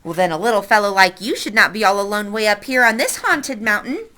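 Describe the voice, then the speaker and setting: A woman's voice: medium pitch, lively intonation, bright, forceful tone. A woman voicing a nosy, bossy little old woman who orders a boy around while she reads a story aloud. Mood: ominous. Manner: brisk, commanding, nosy.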